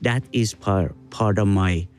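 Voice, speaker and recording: An older man from Iran with an Iranian accent, speaking deliberately in an unscripted interview, pausing between phrases. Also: on the low side, soft background music. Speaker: interview guest, father of another guest.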